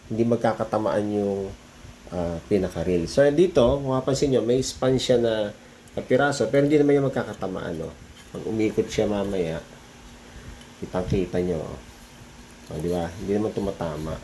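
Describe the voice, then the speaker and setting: A man's voice: fairly low pitch, dark tone. Filipino man casually presenting, noisy recording. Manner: informal, explanatory, frequent check-in tags. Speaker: vintage audio hobbyist presenter. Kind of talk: casual show-and-tell demonstration.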